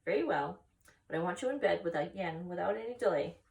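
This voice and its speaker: A woman voicing a wise, protective female spider for a storybook, crisp and emphatic, firm and reassuring. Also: lowish voice, lively intonation, brisk, a little mellow, recorded from a distance. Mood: firm, motherly.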